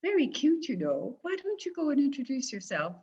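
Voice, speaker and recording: A woman's voice: sits high, wildly swooping pitch, brisk, darkish tone, pitched above the performer's own voice, full character voice. A woman voicing a coaxing, matchmaking old grandmother who eggs her granddaughter on. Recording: lo-fi recording.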